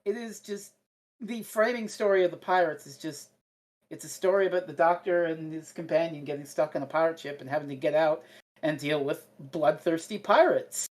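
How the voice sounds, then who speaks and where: A woman with a low voice talks through her opinions on a review podcast. She is blunt and casual, swears, and halts now and then to find her words. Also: darkish tone.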